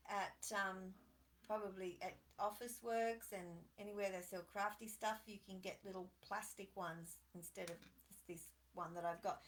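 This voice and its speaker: A woman's voice: mid-range voice, a bit bright, slight background noise. A woman hosting a live children's craft session, chatty and encouraging as she talks straight to young kids. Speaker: children's storytime host. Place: at home on a live video stream.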